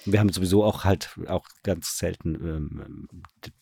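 A man giving casual interview answers as a guest, haltingly searching for his words. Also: low voice, creaky, dark tone, breathy edge, no echo, studio quality. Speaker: radio drama director, interview guest.

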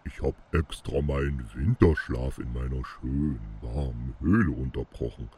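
Very deep, husky grumpy bear. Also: male voice, moderate pace, dark tone, full character voice. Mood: grumbling, insistent. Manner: growling, insistent. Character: grumpy, stubborn big male bear who loves warmth. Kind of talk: children's audio play acting.

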